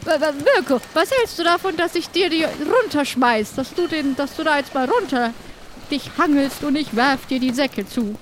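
A woman's voice: high voice, lively intonation, moderate pace, slight background noise. A woman playing a sturdy, wry, bossy adventurer in an improvised pirate audio drama, blunt with some hesitation. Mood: practical.

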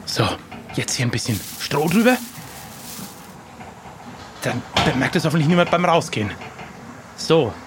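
A man's voice: a little high, expressive, measured pace, pauses often, background noise. A man playing along in an improvised adventure audio play, acting and thinking aloud in the first person. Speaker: player in an improvised pirate adventure.

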